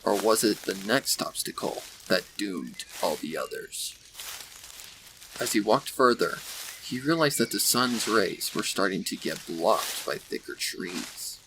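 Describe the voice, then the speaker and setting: Male story narrator, fairly low voice, deliberate dramatic phrasing, faint noise behind. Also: animated. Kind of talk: scripted fan fiction story narration. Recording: faint background noise with birdsong.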